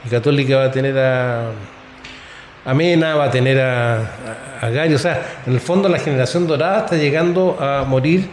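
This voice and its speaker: A man's voice: medium pitch, moderate pace. Emphatic man arguing football. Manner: emphatic, argumentative. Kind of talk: casual chat. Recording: echoey room with faint noise.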